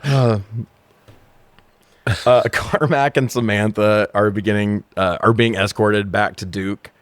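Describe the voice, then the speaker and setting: A man's voice: lowish voice, fairly even intonation, takes it slowly, smooth, dry recording. A man co-hosting a casual movie-review show, recapping plots in a sweary, irreverent, joking way. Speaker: podcast co-host.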